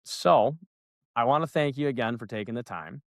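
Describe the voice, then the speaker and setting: Male host, Northern New York accent; relaxed, chatty interviewer, mid pitch, dry close mic. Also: moderate pace, no echo. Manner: relaxed, chatty, asks questions. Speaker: podcast host, loan officer. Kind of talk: casual interview hosting.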